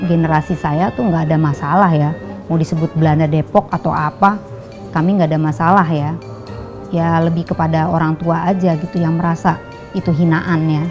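Interviewee, older woman, low-pitched, relaxed chatty talk at home, faint music behind. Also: a little fast. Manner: chatty, relaxed, many fillers. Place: indoor home room.

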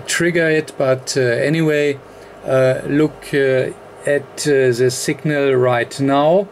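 Slow, halting man with non-native English accent. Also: medium pitch. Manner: halting, hesitant, very frequent ums and uhs. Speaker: electronics tutorial presenter. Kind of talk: unscripted technical explanation. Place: indoor electronics workbench. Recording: clear background noise.

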